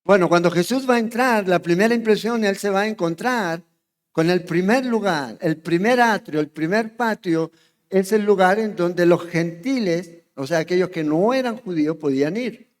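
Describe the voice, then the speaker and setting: A man's voice: sits high, moderate pace, big-room sound. A man with a Chilean accent preaching an expository sermon, chatty, often checking in with his congregation. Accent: Chilean Spanish. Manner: conversational, checks in with the congregation, asks questions. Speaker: pastor. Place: church sanctuary.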